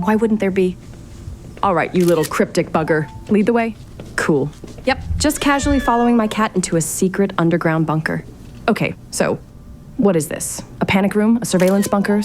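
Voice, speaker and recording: A woman's voice: medium pitch, a little mellow, a little hiss or hum, natural voice. An actress playing a sardonic, curious young woman in a fiction podcast drama, thinking aloud to herself in a naturalistic, exasperated way. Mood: startled, sarcastic. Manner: wry, sarcastic, exasperated muttering to herself. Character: sardonic, curious young woman investigating her late relative's mysterious house. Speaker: lead actor in an audio drama.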